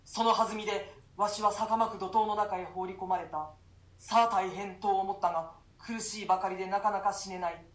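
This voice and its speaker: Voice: mid-range voice, steady tone, normal speed, reverberant, lightly acted. A voice actor playing an imprisoned duke who recounts a nightmare in archaic, solemn speech. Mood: fearful. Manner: archaic, solemn storytelling with fearful gasps. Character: imprisoned duke haunted by a nightmare, male, grave. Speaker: voice actor in a radio reading. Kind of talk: classic stage play read as radio drama.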